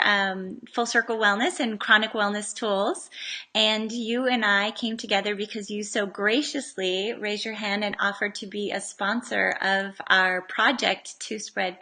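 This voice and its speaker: A woman hosting an interview over a call, warmly appreciative, with short encouraging replies. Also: mid-range voice. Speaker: interview host.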